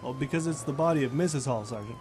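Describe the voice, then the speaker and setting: A man's voice: medium pitch, fast talker, slight background noise, natural voice. Man as police officer, sirens behind. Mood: matter-of-fact. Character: matter-of-fact male police officer explaining a case. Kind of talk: amateur radio play acting. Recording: loud outdoor street ambience with police sirens. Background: sirens and street ambience.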